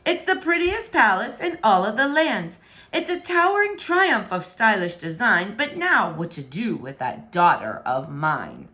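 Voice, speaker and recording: A woman's voice: mid-range voice, sing-song, measured pace, pushed and bright. A woman voicing a pompous, fussy, indignant king and father for a children's storybook, in a theatrical, scolding way. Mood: smug. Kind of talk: expressive children's storybook read-aloud.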